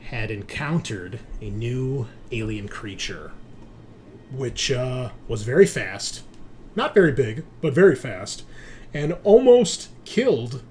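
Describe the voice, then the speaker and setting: Man, mid-pitched voice, game master, informal tabletop recap, conversational storyteller, some background noise.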